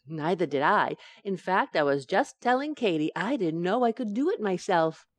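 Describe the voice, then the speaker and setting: Flippant young sitcom housewife, played by an actress: slightly low, very lively pitch, breezy wisecracks. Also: female voice, moderate pace, no room sound. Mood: cheerful, matter-of-fact. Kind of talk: radio sitcom acting.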